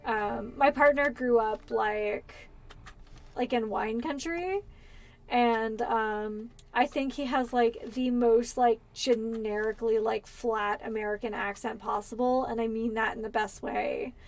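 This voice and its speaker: A woman's voice: a little high, fairly even intonation, measured pace. A woman with a Californian accent streams a game and chats as she plays. Her voice sits a little above the usual female range. She talks in a loose, rambling way and strings thoughts together with easy asides. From Pennsylvania. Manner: chatty and rambling. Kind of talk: casual gameplay chat.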